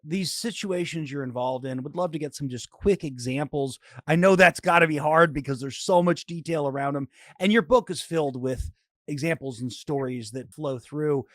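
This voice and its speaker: A man's voice: fairly high pitch, normal speed, no room sound, studio quality. A man hosting a podcast, asking questions in a conversational interviewing style.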